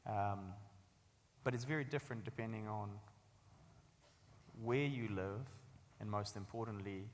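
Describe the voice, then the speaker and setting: A man, giving an articulate, storytelling talk about his own life as a guest author. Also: fairly low pitch. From Afghanistan, later New Zealand. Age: 30s. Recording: echoey hall with long reverb.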